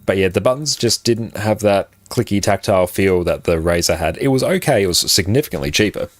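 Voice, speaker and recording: A man with a very low voice, chatting casually and rambling with many fillers as he answers viewers on a live game stream, recorded on a compressed condenser mic at a home setup. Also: lively intonation, brisk, no echo. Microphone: condenser mic on an arm, compressed.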